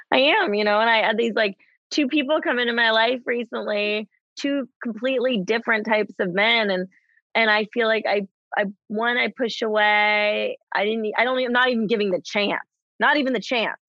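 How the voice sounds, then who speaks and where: Woman, 30s, guest in a personal conversation; halting, restarting sentences, laughing often, mid-pitched, dry close sound, slightly dull audio. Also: steady tone, a bit bright, no echo. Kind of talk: candid conversation.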